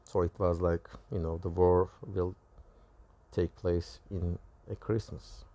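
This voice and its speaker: A man with a Turkish accent and Turkish as his first language gives a personal testimony, recounting visions in halting, self-correcting phrases. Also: sits low, level tone, mellow. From Turkey. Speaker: religious video creator.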